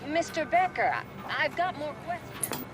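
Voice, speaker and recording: An actress playing a skeptical, insistent TV news reporter in a video game. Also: female voice, sits high, sing-song, moderate pace. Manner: pointed, insistent. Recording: very noisy outdoor street report.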